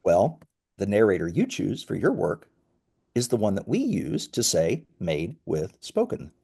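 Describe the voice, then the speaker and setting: Low, mellow-voiced man explaining in an interview. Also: huge pitch swings, measured pace, creaky, husky. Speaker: company founder, interview guest.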